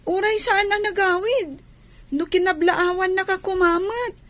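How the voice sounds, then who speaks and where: A woman's voice: very high-pitched, unhurried, fairly bright tone, no room sound. A woman voicing a young child, anxious and devoted, with an Ilocano Filipino accent, in a radio drama. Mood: concerned. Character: young child anxious about absent father, devoted to mother.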